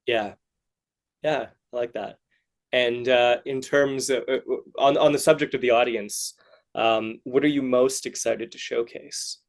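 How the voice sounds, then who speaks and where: A man hosting a casual interview, asking questions in a halting way and often rephrasing them midway. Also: medium pitch, steady tone. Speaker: podcast host, interviewer.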